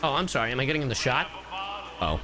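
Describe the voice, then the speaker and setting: Animated, slangy male game streamer. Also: mid-range voice, fast talker. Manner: joking, slangy, breaks into humming and catchphrases. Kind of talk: casual live game reaction commentary.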